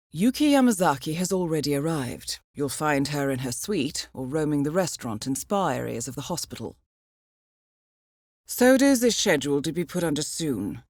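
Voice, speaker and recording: A female intelligence handler from a stealth video game, cool and wry. Her voice sits a little low and moves with expressive intonation through measured, formal briefings. The sound is very dry, with no room around it. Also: dry recording, heavily acted. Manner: measured, formal, dryly understated. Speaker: mission handler.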